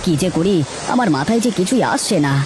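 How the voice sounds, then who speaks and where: A woman's voice: fairly low pitch, animated, a little fast, noisy background, natural voice. A woman voicing an anxious, poor farmer husband in a cartoon, hesitant and plaintive. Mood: worried. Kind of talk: Bengali comic cartoon dialogue. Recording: loud music bed under voice.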